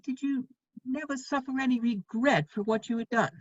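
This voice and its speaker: A woman acts out a worldly gentleman friend in a stage drama, witty and steadfast. The voice is fairly high and husky, with measured, wry phrasing. The recording is dry and somewhat muffled. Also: no echo, natural voice. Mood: disapproving, probing. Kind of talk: dramatic reading of a stage play.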